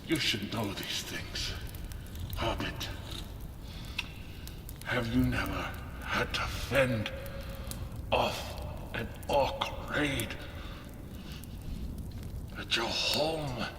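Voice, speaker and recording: A man playing a gruff, proud dwarven blacksmith in an ASMR fantasy roleplay, grumbling and scolding in short, deliberate phrases, sometimes whispering. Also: mid-range voice. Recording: roomy, reverberant, distant, loud background noise.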